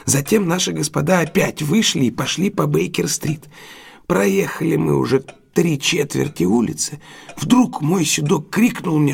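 Husky, higher-voiced deferential cabbie. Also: male voice. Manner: servile, halting, addressing his better respectfully. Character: deferential working-class London cab driver, wary but honest. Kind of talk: audio drama acting.

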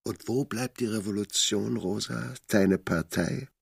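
An actor playing the ghost of a dead young doctor, a lover speaking with insistent, haunting delivery in a radio drama. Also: male voice, unhurried, dry recording, studio quality, heavily acted. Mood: questioning. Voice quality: very deep, gravelly, husky whispery rasp. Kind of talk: literary radio drama acting.

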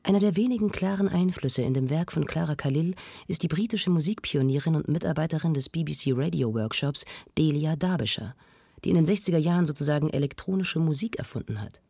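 A woman's voice: low voice, quick pace, gravelly, warm tone, slightly rough, no room sound, studio quality, exaggerated voice. An actress playing a knowledgeable woman who explains things matter-of-factly in a conversational radio drama.

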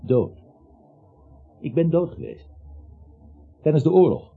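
An actor in a Dutch radio play, playing a garrulous, emphatic man with a gravelly, husky voice who swells on key words. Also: fairly high pitch, wildly swooping pitch, takes it slowly, faint music behind, full character voice. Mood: excited, confiding. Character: garrulous, emphatic man who was once clinically dead. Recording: dull, muffled radio play recording.

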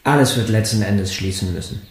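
An actor in a German radio drama playing a tense, bitter husband, clipped, formal and defensive. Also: male voice, on the low side, fairly quick, lightly acted. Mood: resigned. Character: tense, bitter husband in a wheelchair, coolly stubborn.

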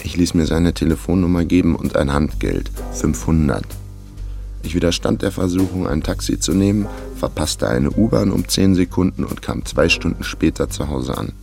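An actor playing a cheeky, bluffing amateur detective and wry narrator in a German radio crime drama, deadpan and self-assured. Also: male voice, low voice, normal speed, gravelly, faint music behind. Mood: rueful.

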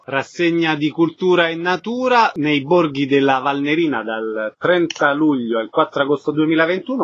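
A man's voice: on the high side, slightly rough, dry recording. Male radio host, dry and slightly dull. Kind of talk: radio presenting.

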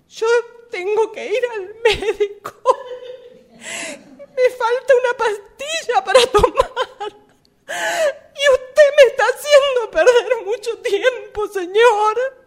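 A woman's voice: extremely high pitch, exaggerated voice. A woman playing an indignant driver in a radio theatre exercise, insistently protesting with curt politeness. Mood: pleading, exasperated. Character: indignant, argumentative female driver stopped by an officer. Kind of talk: radio theatre workshop monologue.

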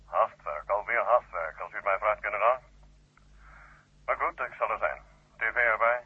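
An actor in a 1970 Dutch radio play, playing a stern, formal Soviet marshal heard over a telephone. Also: male voice, lowish voice, moderate pace, breathy edge. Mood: resigned. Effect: telephone line filter. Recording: tinny, echoing telephone line.

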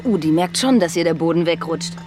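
An actress in a German radio drama playing a cool, cruel, smug conspirator who savours the downfall of others. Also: female voice, on the low side, animated, a little fast, close to an everyday voice. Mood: cruelly amused. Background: music behind the voice.

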